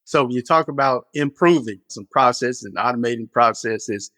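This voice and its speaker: A man's voice: medium pitch, moderate pace, bright, forceful tone, no room sound. A man hosting a business podcast, reading an upbeat scripted intro, then asking interview questions. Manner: upbeat announcer delivery in the intro. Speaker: podcast host.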